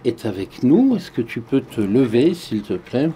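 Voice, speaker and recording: Dark-voiced man interpreting steadily. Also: medium pitch, big pitch swings, unhurried. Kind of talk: simultaneous interpreting.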